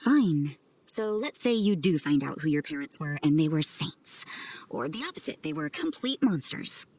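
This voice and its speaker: A woman's voice: sits low, big pitch swings, normal speed, darkish tone, heavily acted. A woman playing a cool, aloof, blunt woman with hidden kindness in a video game, speaking with dry detachment. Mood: blunt challenge. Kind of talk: video game character acting.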